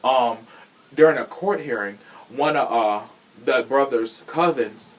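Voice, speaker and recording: A man's voice: medium pitch, measured pace, rough recording. A man chatting casually on a true crime podcast in a sassy, blunt, slangy way. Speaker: podcast host.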